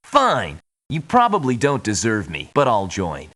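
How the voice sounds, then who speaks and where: An actor playing a surly, touchy mercenary in a video game, talking in a blunt, resentful manner. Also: male voice, lowish voice, wildly swooping pitch, a little slow, a bit bright, heavily acted. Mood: resentful, combative. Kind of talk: video game character voice lines.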